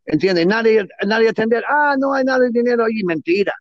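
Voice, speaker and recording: High-pitched guy, not a native speaker, choppy spanish, dry muffled sound. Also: animated, dry recording. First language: not Spanish; Spanish is his second or third language. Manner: broken, halting Spanish with grammar slips, enthusiastic and salesy. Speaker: guest, cigar businessman. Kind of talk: closing remarks in a casual interview.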